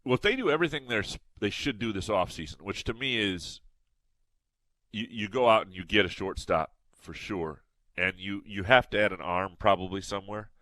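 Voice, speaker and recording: A man's voice: fairly low pitch, moderate pace, sharp tone, no echo, rough recording. Nasal-sounding man talking sports. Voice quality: slightly nasal. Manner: rambling, many restarts and fillers. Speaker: sports podcast co-host. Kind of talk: casual sports talk.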